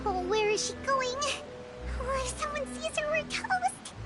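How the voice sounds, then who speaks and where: A tiny chattering fairy, very high and bouncing all over her range. She is excitable and fretful and calls herself by name, in game audio with clear music underneath. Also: unhurried, exaggerated voice. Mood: urgent. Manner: refers to herself in third person, chirpy and fretful.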